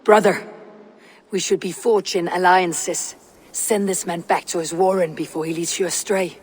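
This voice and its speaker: An actor playing a blunt, stoic Viking raider in a video game cutscene, speaking in a measured, solemn way. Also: male voice, high-pitched, flat delivery, lightly acted. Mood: challenging, irked.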